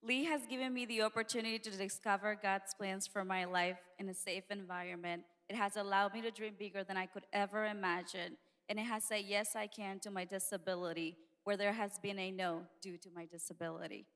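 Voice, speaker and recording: A woman's voice: medium pitch, steady tone, fairly quick, hardly any pauses, a bit bright. Heartfelt young woman from Honduras. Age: 20s. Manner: heartfelt, a bit shy. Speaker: student panelist. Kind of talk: prepared testimony. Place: large stage hall, indoors. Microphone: handheld mic.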